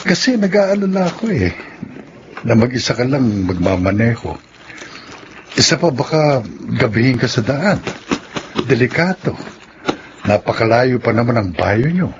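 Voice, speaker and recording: Expressive Filipino man, worried and caring. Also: mid-range voice, measured pace, pauses often. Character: worried, caring male companion, gently questioning. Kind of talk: Filipino radio drama acting. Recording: dull radio broadcast, faint noise.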